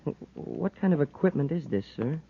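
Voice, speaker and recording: An actor playing an eager, loyal young space cadet sidekick, boyish and deferential, in 1950s radio serial style. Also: male voice, a little high, very animated, moderate pace, vocal fry, slightly rough, full character voice. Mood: curious. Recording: muffled, noisy 1950s radio recording.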